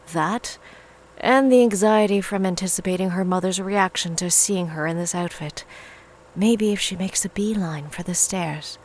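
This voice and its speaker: A woman's voice: fairly low pitch, a little hiss or hum. A woman reading scripted dramatic narration for an audio drama.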